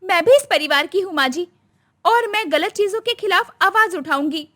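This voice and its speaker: A woman's voice: very high-pitched, lively intonation, moderate pace, pushed and bright, pitched above the performer's own voice, heavily acted. A woman voicing a young, modern daughter-in-law who is earnest, outspoken and caring. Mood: defiant.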